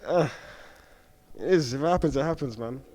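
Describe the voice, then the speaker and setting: A man's voice: on the high side, normal speed, darkish tone. A young man with a London accent, a drill rapper talking casually in an interview, slangy and laid-back, his voice muffled behind a mask. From born in Somalia, raised in Homerton, London.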